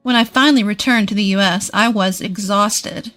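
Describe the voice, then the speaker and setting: A woman's voice: mid-range voice, normal speed, a bit bright, soft background music. A woman reading a scripted first-person family drama as its narrator. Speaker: story narrator.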